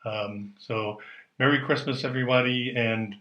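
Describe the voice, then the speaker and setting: Male American learner, unhurried casual video message, echoey room. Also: mid-range voice, steady tone, lo-fi sound. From United States. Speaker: learner sharing a favourite word.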